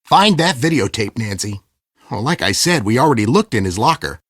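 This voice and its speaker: An actor playing a smug, impatient schemer who poses as a police detective in an adventure game, with a condescending, theatrical delivery. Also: male voice, medium pitch, very animated, a little fast, fairly bright tone, slightly rough, no room sound, studio quality. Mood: businesslike, stern. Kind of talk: scripted game character dialogue.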